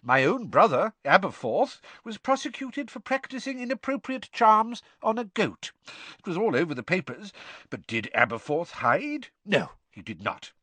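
A man voicing a wise, gently amused old male headmaster with dry wit, speaking in a measured way. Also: high voice, sing-song, slightly rough, dry recording, pitched above the performer's own voice, heavily acted. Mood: wry, kindly.

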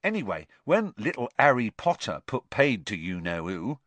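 A man voicing an excitable young male bus conductor who gossips and keeps seeking agreement. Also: fairly low pitch, moderate pace, dry recording. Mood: excited gossip.

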